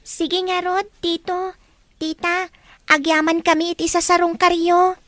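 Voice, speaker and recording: A very high, bright voice of a respectful young daughter, acted by a woman in an Ilocano radio drama. The sound is close and dry, and she speaks politely to her elders. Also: little pitch movement, a little slow, no room sound. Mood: polite, earnest.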